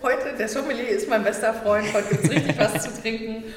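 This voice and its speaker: A woman's voice: fairly high pitch, fairly quick, slight background noise. A woman with a Berlin accent, a sommelier, talking in a thoughtful casual way with many fillers and easy laughs. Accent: Berlin German. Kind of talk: casual chat. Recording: echoey room with long reverb.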